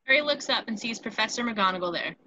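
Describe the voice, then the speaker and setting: A woman's voice: a little high, lively intonation, quick pace, bright, forceful tone, no echo. A woman narrating scripted stage directions for a screenplay read.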